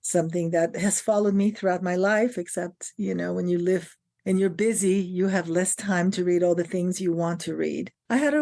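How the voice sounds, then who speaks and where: A woman's voice: lowish voice, warm tone. A middle-aged woman from France, a guest on a podcast interview, speaking articulately and emphatically about teaching and directing actors. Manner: articulate and emphatic, builds long lists of phrases.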